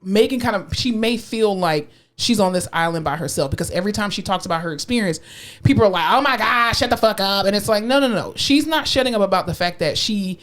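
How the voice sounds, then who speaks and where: A woman's voice: mid-range voice, lively intonation, fast. A woman co-hosting a podcast in casual chat, blunt and joking, sometimes playing devil's advocate. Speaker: podcast co-host.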